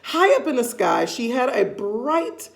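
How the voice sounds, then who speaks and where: A woman's voice: a little high, sing-song, unhurried, gravelly. A woman reading a children's story aloud expressively, stressing key words and adding casual asides.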